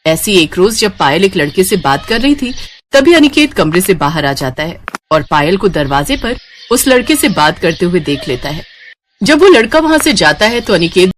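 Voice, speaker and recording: A woman's voice: on the low side, sing-song, normal speed, slight background noise. A woman reading scripted narration for a family story.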